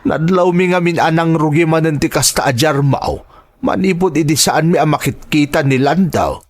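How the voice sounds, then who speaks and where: A man's voice: on the high side, moderate pace, close to an everyday voice. A man playing a worried, caring older father in a melodramatic audio drama. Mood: anxious. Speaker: drama actor.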